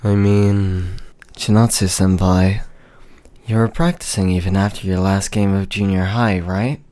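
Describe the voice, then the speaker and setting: An actor playing an earnest, smitten teenage schoolboy athlete in an English anime dub. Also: male voice, low-pitched, level tone, unhurried, dark tone.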